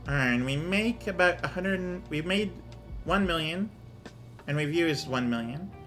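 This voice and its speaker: A man streaming a game, talking casually through his numbers as he plays, with his voice going hoarse. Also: fairly high pitch, animated, normal speed, fairly bright tone, faint music behind. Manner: muttering numbers while he plays, thinking aloud. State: losing his voice. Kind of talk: casual gameplay commentary.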